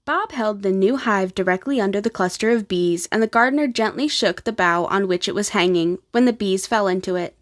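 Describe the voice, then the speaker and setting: A woman reads a children's story aloud as narrator. Her voice sits at an ordinary female pitch, and she delivers the text as scripted storytelling. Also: fairly quick, hardly any pauses.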